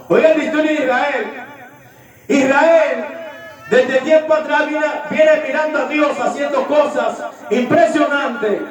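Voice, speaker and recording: A man preaching a sermon emphatically, repeating phrases and putting questions to his congregation. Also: very high voice. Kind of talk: impassioned sermon with acted-out dialogue. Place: large echoey room with a congregation. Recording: very echoey hall, distant mic, audience present.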